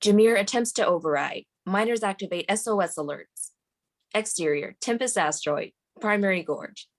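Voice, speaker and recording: A woman's voice: mid-range voice, moderate pace. A woman reading scripted stage directions aloud as the narrator of a screenplay table read.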